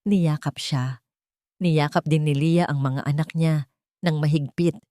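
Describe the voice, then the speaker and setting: Filipina woman narrating a drama story, lowish voice, super dry sound no echo. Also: fairly even intonation, unhurried, warm tone.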